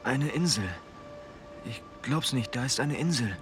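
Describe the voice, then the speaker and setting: An actor playing a caring, excitable young sailor in a radio play. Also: male voice, medium pitch, unhurried, over music, close to an everyday voice. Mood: hushed, amazed. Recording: off-mic over loud sea ambience.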